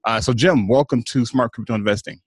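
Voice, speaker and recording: A man's voice: medium pitch, fairly quick, slightly rough, dry recording, studio quality. A man hosting a podcast, welcoming a guest and asking questions in a hesitant way with many restarts. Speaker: podcast host.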